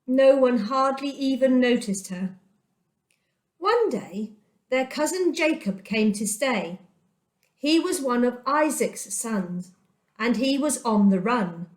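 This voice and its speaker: A woman reading a children's Bible story aloud as a storyteller, with dramatic, deliberate delivery and emphatic pauses. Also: fairly high pitch, lively intonation, roomy sound.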